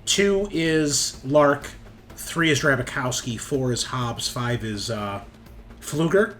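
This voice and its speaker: Male game master, medium pitch, casual sweary tabletop narration, thinking aloud, light music behind. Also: expressive, slow, a bit bright. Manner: informal, profane, thinking aloud. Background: game background music.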